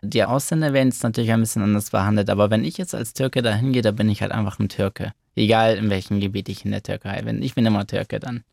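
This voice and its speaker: A young man who grew up in Germany, giving soft-spoken answers in a casual interview. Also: on the low side, steady tone, quick pace, gravelly, few pauses, no echo, clean studio sound.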